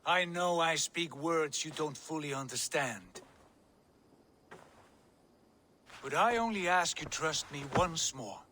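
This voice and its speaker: An actor playing a proud, embittered Viking lord in a video game, speaking in a solemn, declamatory way. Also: male voice, fairly high pitch, lively intonation, unhurried, pushed and bright, slight background noise. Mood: solemn, insistent. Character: embittered, proud Viking lord, grown man, fervent and brooding. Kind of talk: dramatic video game acting.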